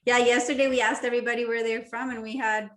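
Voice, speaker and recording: A woman whose first language is Spanish hosts the interview with excitement and frequent laughter. Her voice sits fairly high. She sounds like she's in an echoey room. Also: fast talker. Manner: enthusiastic, warm welcoming, laughs often. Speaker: interview host. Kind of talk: casual interview chat.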